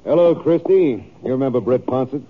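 An actor playing a blunt, hearty ranch boss with a bluff, folksy way of talking in a radio western. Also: male voice, mid-range voice, animated, a little fast, natural voice. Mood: companionable. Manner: bluff, folksy, plain-spoken. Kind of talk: 1950s radio drama acting. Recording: tinny, noisy old radio recording.

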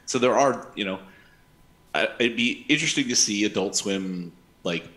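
A man's voice: on the low side, expressive, measured pace, fairly bright tone, rough recording. A middle-aged man co-hosting a show with casual commentary, speaking into a headset mic. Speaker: co-host of an anime news show. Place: indoors on a video call.

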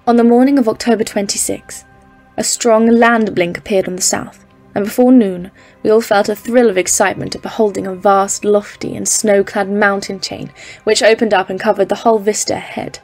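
A woman's voice: medium pitch, steady tone, moderate pace, darkish tone, soft background music, natural voice. A woman playing a composed expedition leader, narrating a polar journal in a scripted audio drama. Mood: solemn, uneasy. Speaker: narrator and lead character.

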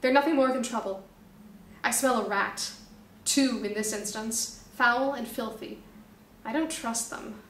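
A woman's voice: a little high, measured pace, echoey room, far from the mic, a little hiss or hum. A woman voicing a young, wary male snake prince who speaks sharply. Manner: sharp, distrustful.

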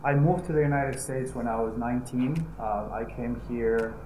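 A man's voice: medium pitch, moderate pace, noisy background. Man in a roomy office. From Colombia. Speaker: guest entrepreneur. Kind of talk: interview answers. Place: glass-walled office, indoors. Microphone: lapel mic.

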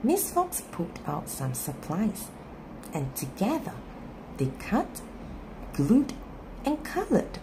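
Very animated woman reading a children's story. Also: medium pitch. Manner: expressive, deliberate phrasing. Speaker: storybook narrator. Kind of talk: children's read-aloud storytelling. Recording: clear background noise.

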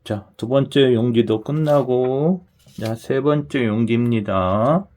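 A man's voice: mid-range voice, takes it slowly, darkish tone. A man with a regional Korean dialect hosts a chatty talk to viewers, often asking them to agree as he goes. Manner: chatty, repeats "그죠?" to the audience. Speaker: lottery channel host.

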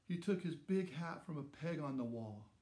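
A man in his sixties reading a children's story aloud in simple, measured phrases with dramatic emphasis. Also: on the high side, lively intonation, warm tone. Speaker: storybook reader. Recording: roomy echo around the voice.

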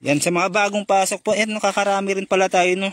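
Isang binatang nagla-live stream habang nangingisda sa gabi, madaldal at bumabati sa mga manonood sa pangalan. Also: male voice, high-pitched, little pitch movement, fast talker, a bit bright. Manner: chatty, greets viewers by name, laughs often. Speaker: fishing vlogger doing a live stream. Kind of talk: casual live-stream commentary. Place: concrete seawall at night, outdoors.

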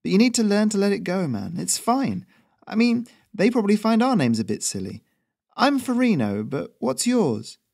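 A man's voice: high-pitched, big pitch swings, moderate pace, vocal fry, darkish tone, voice pushed up, heavily acted. A man voicing a breezy, cocky postman who rides a goose, talking in a chummy, teasing, upbeat way.

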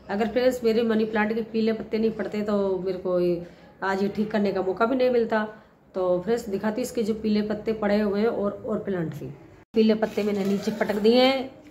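A middle-aged woman hosting a gardening vlog, hoarse from a cold and chatty, talking to viewers on a phone held at arm's length on her rooftop terrace. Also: mid-range voice, fairly even intonation, a little fast, darkish tone. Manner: chatty, repeats "friends" often. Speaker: gardening channel host. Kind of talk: casual vlog talk to viewers. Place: home rooftop terrace with plants, outdoors.

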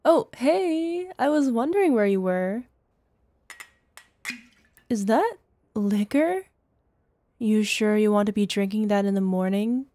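A woman acting in an ASMR roleplay as a devoted, playful older girlfriend, with a soft, tender, teasing manner. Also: mid-range voice, expressive, slow, long pauses, no room sound. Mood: casual, curious. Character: devoted, playful, smitten older girlfriend soothing her lover.

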